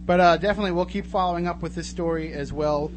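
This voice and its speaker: A man with a slightly high voice hosts a live radio show in a casual, bantering way. He chats and jokes over a clearly noisy, humming broadcast recording. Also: fairly even intonation, quick pace. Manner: joking, bantering. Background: clear noise and hum.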